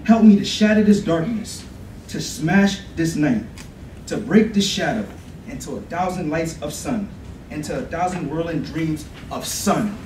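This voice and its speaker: A man reciting a poem at a podium in a college lecture room, with slow, dramatic, swelling delivery. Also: on the high side, expressive, darkish tone. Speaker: student contestant. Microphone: podium mic from a far camera. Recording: echoey room, far mic, faint hiss.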